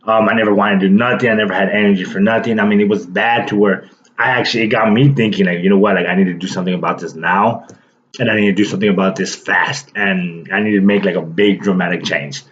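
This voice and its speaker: A man's voice: lowish voice, steady tone, fast talker, fairly bright tone, lo-fi sound. A man in his late twenties telling a personal story to his viewers in a loose, rambling way, full of fillers and restarts. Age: 20s. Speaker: vlogger. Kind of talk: casual personal story to camera.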